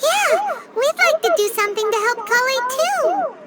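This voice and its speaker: A woman voicing a tiny, excitable female fairy companion in a video game, with a squeaky high voice, who talks about herself in the third person. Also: unhurried, heavy background noise, heavily acted. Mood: earnest. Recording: loud game soundtrack music behind.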